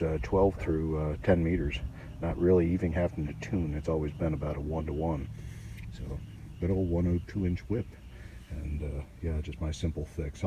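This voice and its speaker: A man giving a casual hands-on repair walkthrough in a halting, folksy, offhand way, recorded outdoors on amateur gear. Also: a bass voice, dark tone, a little hiss or hum. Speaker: host of a DIY radio repair channel. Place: outdoors beside a car.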